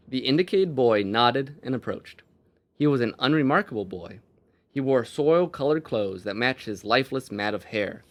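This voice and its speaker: A man's voice: mid-range voice, a bit bright. A man reading a fantasy story aloud as its narrator.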